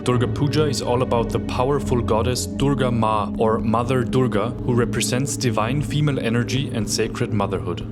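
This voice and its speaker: A male travel vlogger with an Austrian accent delivers scripted narration. German is his first language. His voice sits at an average pitch, with clear music underneath. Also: normal speed, hardly any pauses.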